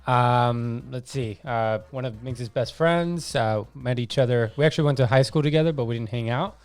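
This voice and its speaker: A man's voice: medium pitch, moderate pace, clear, resonant tone. Easygoing joking man in his thirties. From Argentina. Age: 30s. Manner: relaxed, joking, rambling with fillers. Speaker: podcast guest. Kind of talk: casual podcast chat.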